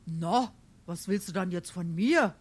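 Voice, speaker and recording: An actress playing a gossipy, defensive burgher wife in a dialect stage comedy, plaintive, with a Darmstadt Hessian accent. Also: female voice, on the low side, a little slow, darkish tone.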